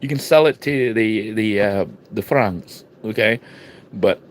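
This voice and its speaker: A man from the United States talking casually to his vlog viewers, rambling with restarts and fillers and swinging between low murmurs and sudden emphatic bursts. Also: unhurried. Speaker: vlogger. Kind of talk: casual vlog talk to camera.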